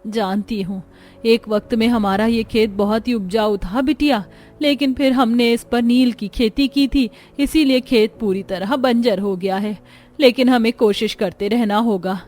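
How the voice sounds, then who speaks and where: A woman's voice: a little high, a little slow, a little mellow, close to an everyday voice. A woman voicing a poor village mother in a cartoon, sorrowful but resolute, earnest and tender. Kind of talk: Hindi cartoon dialogue.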